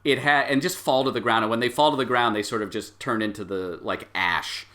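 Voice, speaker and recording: Bright-voiced male game master. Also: medium pitch. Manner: halting, thinking aloud. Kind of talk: improvised tabletop game narration.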